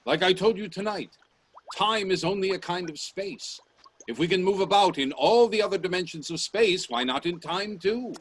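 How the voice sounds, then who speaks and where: An eccentric gentleman inventor acted by a man in a radio play, with a fairly high male voice. He speaks formally and emphatically, utterly sure of himself and grandly insistent. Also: normal speed, slight background noise, close to an everyday voice, low-quality recording.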